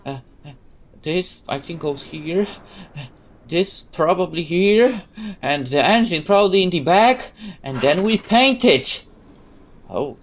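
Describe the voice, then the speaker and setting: A woman reading a visual novel aloud in an expressive, sometimes hesitant way. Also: deep voice, slight background noise. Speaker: gameplay narrator.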